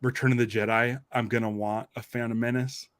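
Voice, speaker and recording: A man's voice: medium pitch, flat delivery, fairly quick, fairly bright tone, no echo. A man in a casual fan podcast chat, enthusiastic and thinking aloud in rambling fragments. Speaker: podcast host.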